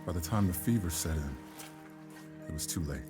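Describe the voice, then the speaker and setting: Earnest castaway man, expressive and gentle. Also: low voice, moderate pace, over music. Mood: subdued. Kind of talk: period audio drama acting.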